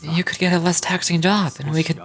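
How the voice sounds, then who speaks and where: A woman's voice: fairly low pitch, fairly even intonation, fairly quick, voice pushed down, lightly acted. A woman voicing an earnest middle-aged father, stern but caring, in a fantasy game. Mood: earnest, concerned.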